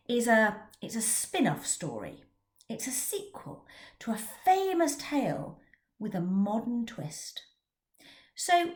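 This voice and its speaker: A middle-aged woman, an author and teacher from England, giving a prepared talk in an expressive storytelling manner. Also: mid-range voice, echoey room. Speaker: children's author. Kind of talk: scripted talk about her book.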